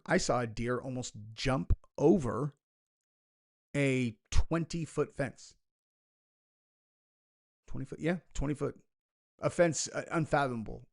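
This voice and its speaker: A man's voice: mid-range voice, lively intonation, slow, vocal fry, long pauses. A young man talks through a reaction video in his ordinary mid-range voice. He rambles, drops in dry sarcastic asides, and leans on filler words like "like" and "you know". The tone is loose and conversational. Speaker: commentary video host. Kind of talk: casual reaction commentary.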